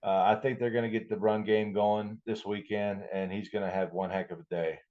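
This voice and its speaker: A man's voice: lowish voice, little pitch movement, fairly quick. A man co-hosting a sports podcast, chatting about football in a folksy, informal way. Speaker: podcast co-host. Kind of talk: casual sports chat. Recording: dull, lo-fi microphone sound.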